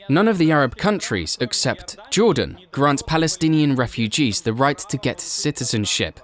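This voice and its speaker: Man, documentary voice-over, mid-pitched, even and explanatory, scripted read. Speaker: documentary voice-over narrator and translator.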